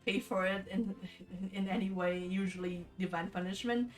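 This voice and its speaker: A woman streamer reading stories and commenting casually, with an informal, halting, rambling way of talking. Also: medium pitch, steady tone, recorded from a distance, soft background music. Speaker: streamer reading folktales aloud. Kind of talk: casual livestream storytelling and commentary.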